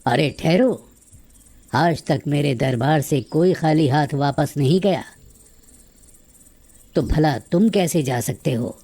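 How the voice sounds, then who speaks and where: Man playing a slow, dark-voiced storybook king. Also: fairly high pitch. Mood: firm, magnanimous. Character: proud, generous king in a cartoon fairy tale.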